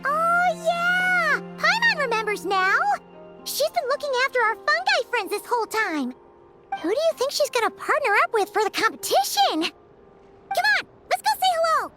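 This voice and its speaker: A woman voicing a tiny, excitable, food-loving girl companion in a video game, with a squeaky childlike voice. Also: moderate pace. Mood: excited. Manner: refers to self by name in third person, exclamatory. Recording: loud children's music behind voice.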